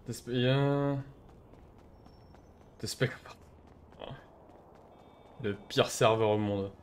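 A man's voice: medium pitch, very slow, slight background noise. A man in his thirties chatting casually while streaming a role-play game, with a mocking, sarcastic and crude manner. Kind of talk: casual gaming banter.

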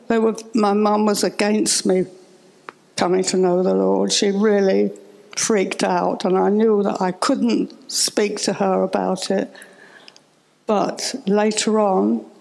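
Slow, gravelly elderly woman. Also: mid-range voice, level tone, darkish tone, low-quality recording. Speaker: church member giving testimony. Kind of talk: personal testimony in a live interview. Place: on a church stage. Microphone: handheld microphone held close.

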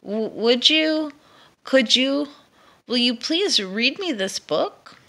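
A woman's voice: high voice, animated, slow, fairly bright tone, voice pushed up, full character voice. A woman voicing an eager, polite young male dragon who loves books, pleading in a friendly, emphatic way in a children's picture book. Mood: hesitant, hopeful.

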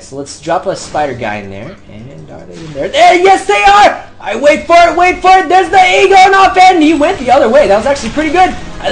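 Excitable young man calling his game. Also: extremely high pitch. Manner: excitable, bursts into shouts during fights. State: losing his voice. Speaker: gameplay commentator. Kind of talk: live gameplay commentary.

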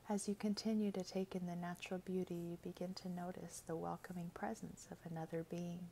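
A woman reading a scripted guided meditation in a gentle, soothing, measured way, with soft, breathy phrase endings. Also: on the low side, little pitch movement, a little hiss or hum, low-quality recording.

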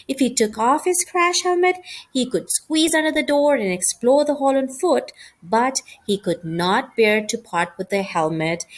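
A woman reading a children's novel aloud as an expressive storybook narrator. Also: a little high, husky. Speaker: storybook reader.

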